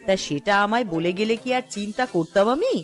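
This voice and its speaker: A woman's voice: mid-range voice, sing-song, a little fast, soft background music, close to an everyday voice. A woman playing a fretful village wife and mother in a cartoon, nagging and exasperated. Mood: exasperated worry. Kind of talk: Bengali cartoon dialogue.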